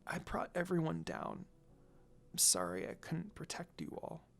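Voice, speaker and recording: A reader voicing an earnest, emotional teenage girl fighter in a soft-spoken way. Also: mid-range voice, sing-song, unhurried, vocal fry, exaggerated voice. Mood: sad, subdued.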